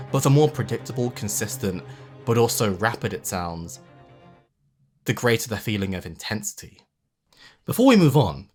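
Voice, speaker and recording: A video essay host narrating analysis with casual asides, in an emphatic, conversational way. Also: medium pitch. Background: music under the voice.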